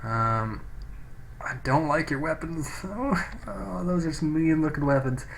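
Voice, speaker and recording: A man's voice: a little high, unhurried, vocal fry, a little hiss or hum. A man giving casual let's play commentary, thinking aloud and reading game text, on a poor-quality microphone. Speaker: gaming let's play host. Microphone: low-quality microphone.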